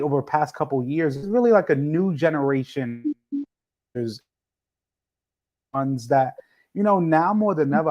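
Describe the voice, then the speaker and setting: A man hosting a relaxed podcast chat, his voice sitting a bit higher than most men's. He winds through long, meandering questions, restarting and circling back as he goes. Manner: rambling, restarts often, drawn-out phrasing. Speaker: podcast host. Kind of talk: casual interview.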